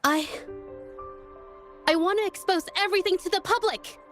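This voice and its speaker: Soft high voiced young painter girl from a game, earnest, kinda hesitant, music behind. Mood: nervous but resolute. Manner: hesitant, soft-spoken, halting. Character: gentle, earnest young woman painter with quiet resolve. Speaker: game character. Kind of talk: video game voice acting.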